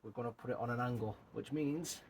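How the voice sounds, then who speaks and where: A man with a croaky voice talking casually through his bonsai work as host of his channel, thinking aloud as he goes, outdoors. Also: medium pitch, brisk, slight background noise. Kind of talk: casual hands-on tutorial talk.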